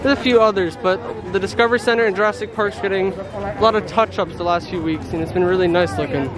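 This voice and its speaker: A man's voice: high voice, steady tone, quick pace. A young man chatting casually as he walks a theme park and films with a handheld camera. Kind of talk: casual walking vlog narration. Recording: loud crowd and outdoor noise. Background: crowd and park noise.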